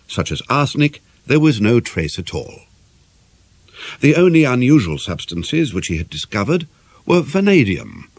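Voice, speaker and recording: A man reading a novel as an audiobook narrator, in a measured, formal and expressive way. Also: fairly low pitch, no room sound. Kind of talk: scripted narration of a murder mystery novel.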